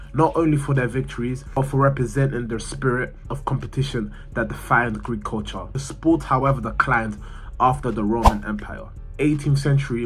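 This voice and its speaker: A man's voice: medium pitch, rough recording. A man reading a scripted sports history narration for an explainer video, sometimes stumbling and repeating words. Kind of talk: scripted narration, read aloud. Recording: music behind the voice. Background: background music.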